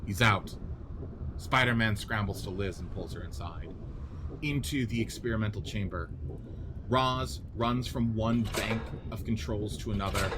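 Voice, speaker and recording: A man's voice: fairly low pitch, a little slow, background noise. A man narrating the action of a scripted radio play in a dramatic manner. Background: music.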